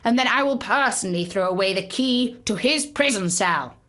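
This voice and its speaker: A woman's voice: mid-range voice, animated, moderate pace, a bit bright, natural voice. A woman voicing a pompous, self-satisfied male mayor making grand statements in a children's story.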